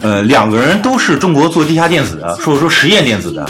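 A man's voice: medium pitch, very animated, fast talker, a bit bright. A man hosting a podcast, explaining his opinions in a casual music discussion. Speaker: podcast host.

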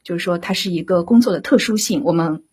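A woman speaking as a drama director in an unscripted interview, halting as she searches for words. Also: fairly low pitch, a little mellow, slightly rough.

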